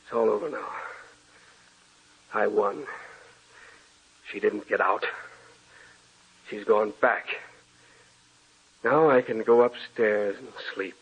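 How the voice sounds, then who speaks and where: An actor playing a haunted, sleepless man losing his mind, speaking with feverish, halting repetition in old-time radio horror style. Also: lowish voice, slightly rough, full character voice. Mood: exhausted relief. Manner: intense, halting, repeats words feverishly. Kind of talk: 1940s radio horror acting. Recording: muffled old radio recording.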